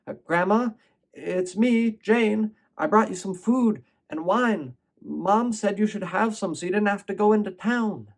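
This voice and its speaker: A man's voice: high voice, measured pace, voice pushed up. A man voicing an innocent young girl from a storybook, speaking in a light, hesitant, wondering way. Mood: tentative greeting. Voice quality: light, raised voice. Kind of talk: storybook character voice in oral storytelling.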